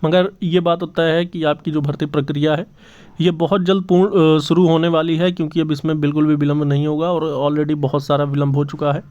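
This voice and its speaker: A fairly high-pitched man, hosting an exam news update for his online channel. His voice is smooth and clear, but the audio is a little dull. He talks earnestly, circles back to his points and pads them with fillers. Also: level tone, brisk, darkish tone. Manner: earnest, repeats points, many fillers and restarts. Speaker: channel host giving job exam news. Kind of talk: casual news update to camera.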